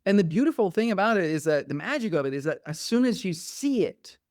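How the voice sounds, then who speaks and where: A man's voice: high-pitched, animated, fast, a little mellow, dry recording, studio quality. A middle-aged man from Denmark, a podcast guest and coach speaking reflectively and animatedly, with lots of "like" fillers. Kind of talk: conversational interview.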